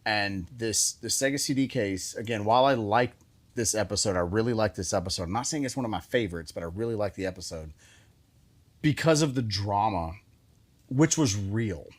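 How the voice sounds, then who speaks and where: Male podcast host, lower register, casual storyteller, repeats himself to hammer a point, salty language. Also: normal speed. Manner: repeats phrases for emphasis, mildly profane. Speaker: show host.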